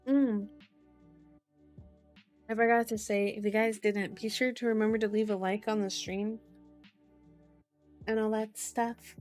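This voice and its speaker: Slow, sleepy woman streamer, cutesy ASMR chat. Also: medium pitch, little pitch movement, darkish tone, faint music behind. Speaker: streamer hosting an ASMR book reading. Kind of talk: ASMR, casual rambling chat to viewers.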